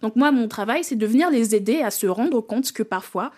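Female inclusion consultant, higher voice; clear, articulate, explanatory. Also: expressive, moderate pace, a little mellow. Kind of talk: radio discussion.

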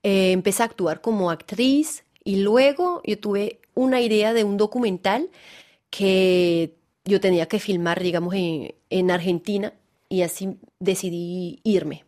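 Woman, 30s, French native, Venezuelan-tinged Spanish accent, mid pitch, chatty and relaxed, radio studio guest. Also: measured pace. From France. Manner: chatty, relaxed, often laughing, full of "digamos" and "como que". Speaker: actress and theater director, guest. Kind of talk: radio interview.

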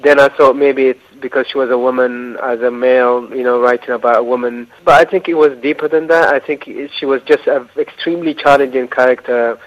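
A man's voice: mid-range voice, steady tone. Thoughtful man, muffled line. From Eritrea. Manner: thoughtful, halting, with restarts and fillers. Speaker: novelist guest. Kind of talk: reflective interview answers.

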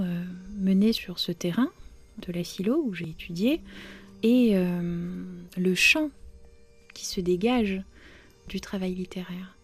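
A novelist, a woman whose first language is Romanian, answering interview questions thoughtfully with many pauses and fillers. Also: on the low side. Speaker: novelist guest.

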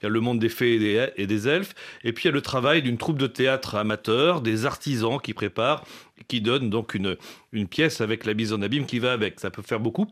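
A man hosting a radio culture show, introducing a theatre piece in a measured, conversational way with small hesitations. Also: mid-range voice, a bit bright. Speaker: radio host.

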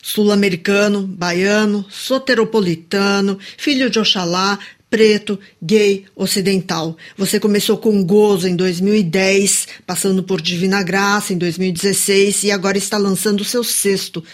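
A woman's voice: mid-range voice, fairly even intonation, normal speed, few pauses. A woman with a Brazilian accent conducting a radio interview in a studio, moving between prepared introductions and questions.

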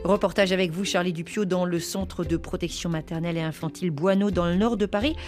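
A woman's voice: fairly low pitch, fairly even intonation, quick pace, music in the background. A woman hosting a radio news programme, putting questions to a reporter. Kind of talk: broadcast interview with a reporter.

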